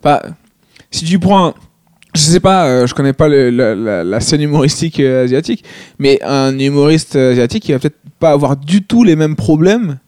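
A man's voice: fairly high pitch, lively intonation, normal speed, a little mellow, no room sound, studio quality. A man, a stand-up comedian, talking casually and hesitantly, with many restarts and fillers. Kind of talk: casual conversation.

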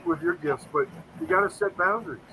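A man's voice: a little high, lively intonation, a little fast, pushed and bright, a little hiss or hum, poor audio. A man in his fifties telling stories in a casual interview, earnest and rambling, often quoting himself. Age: 50s. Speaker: paranormal investigator guest.

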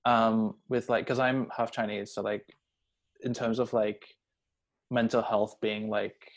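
A man's voice: fairly low pitch, little pitch movement, unhurried. A young adult man talking casually and personally, hesitant and rambling, leaning heavily on filler words. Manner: hesitant, rambling, heavy use of "like".

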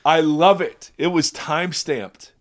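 A man hosting a podcast in casual chat, his voice raspy from a virus, rambling and self-deprecating, often laughing. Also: fairly high pitch, a little slow. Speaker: podcast host.

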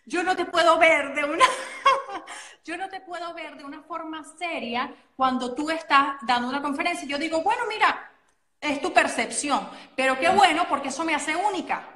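A Venezuelan woman, a guest coach and model, talking in a chatty, animated way and often correcting herself mid-sentence. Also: high-pitched, normal speed, roomy sound. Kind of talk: casual interview chat.

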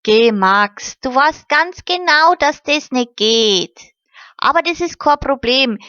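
A woman's voice: sits high, expressive, takes it slowly, bright, forceful tone, dry recording, highs cut off, voice pushed up, heavily acted. A woman voicing a careful, sensible boy, earnestly explaining.

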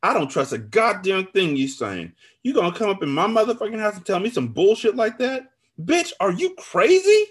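A man voicing a furious, foul-mouthed woman in an audiobook drama, loud, sassy and confrontational, bent on revenge. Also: mid-range voice, very animated, moderate pace, voice pushed up. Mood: furious, hurt. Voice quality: loud, raised voice. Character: Alice, furious, foul-mouthed, vengeful woman betrayed by her friend.

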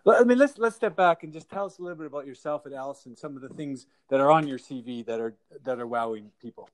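Man, podcast host, casual, rambling, self-deprecating, laughs often, middle pitch. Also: fast. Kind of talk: casual remote interview.

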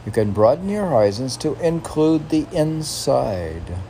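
Male poet, unhurried and creaky, halting and reflective between read verses and offhand comments. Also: medium pitch, very animated, mellow, slight background noise. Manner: musing, halting, chuckling at his own remarks. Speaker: poet reading his own work. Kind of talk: poetry reading with rambling casual asides.

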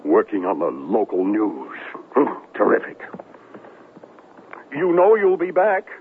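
A man's voice: lowish voice, huge pitch swings, a little slow, full character voice. An actor playing a vain, wheedling, self-pitying television newsman, theatrical and boastful, in a 1970s radio drama. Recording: tinny, hissy 1970s radio broadcast.

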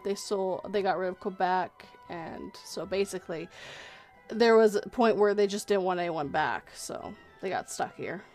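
A woman's voice: medium pitch, a little mellow. Canadian lady just chatting on a stream, jokey and chatty, bit of music behind her. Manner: chatty, joking. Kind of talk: casual livestream chat.

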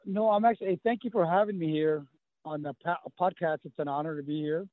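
Brief: fifties South Texas man, Spanish first tongue, somewhat high voice, stop-start chat, thin phone-like sound. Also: steady tone, no echo. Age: 50s. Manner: halting, many restarts and fillers. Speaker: guest, running coach. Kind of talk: casual interview. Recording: tinny phone-like line.

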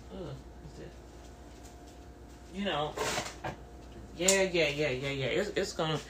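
A woman's voice: fairly low pitch, a little hiss or hum. A woman in her fifties giving a casual motivational talk, conversational and preachy, speaking straight to her listeners. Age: 50s.

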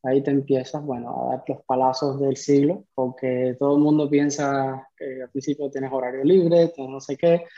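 A man's voice: medium pitch, flat delivery, normal speed, hardly any pauses, mellow. A man in his thirties from Cuba, telling his story as a podcast guest in a conversational way. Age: 30s. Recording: slightly dull, lo-fi audio.

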